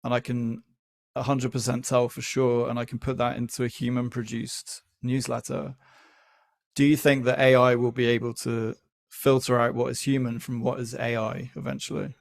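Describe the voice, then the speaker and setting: A man speaking as a podcast guest, explaining his views in a measured, deliberate, conversational way. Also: medium pitch, flat delivery, vocal fry. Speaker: podcast guest, newsletter founder. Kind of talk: conversational interview.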